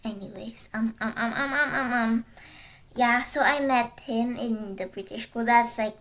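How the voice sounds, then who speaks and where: Teenage girl, first language Chinese, somewhat high voice, slow unhurried talk, rambling with lots of ums, casual livestream chat. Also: a bit bright. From Philippines. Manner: rambling, hesitant, full of fillers and self-corrections. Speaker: vtuber streamer.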